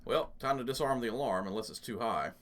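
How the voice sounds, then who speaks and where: A man's voice: medium pitch, expressive, quick pace, fairly bright tone. A man giving casual, jokey let's play commentary, muttering to himself as he goes, as the host of a gaming channel.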